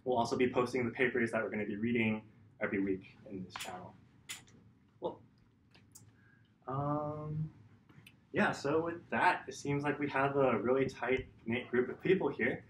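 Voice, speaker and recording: A young man speaks informally to a group as the leader of a student club. His medium-pitched voice is picked up from a distance in an echoey room with faint noise. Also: moderate pace, pauses often. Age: young adult. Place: meeting room, indoors. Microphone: distant table-level camera mic.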